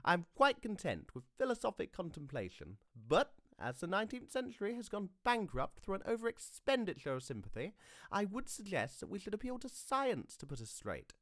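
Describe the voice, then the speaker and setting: A man's voice: high-pitched, wildly swooping pitch, moderate pace, a bit bright, dry recording, studio quality, pitched above the performer's own voice, full character voice. A man voicing a languid, witty young aristocratic lord with a drawling, ironic delivery.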